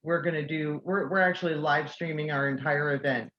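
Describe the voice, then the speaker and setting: A woman's voice: low-pitched, fairly even intonation, a little fast, fairly bright tone, roomy sound, highs cut off. A woman who organizes a convention, chatting casually and telling a self-deprecating story. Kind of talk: casual video call chat.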